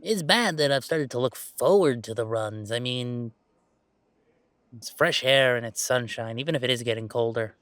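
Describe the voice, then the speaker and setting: A man's voice: mid-range voice, moderate pace, a bit bright, dry recording, natural voice. A man playing a weary but fond werewolf gamer who streams, bantering and complaining in a conversational roleplay audio. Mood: relaxed, wry. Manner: sighing, bantering, mock-defensive complaining. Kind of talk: one-sided roleplay audio drama, conversational.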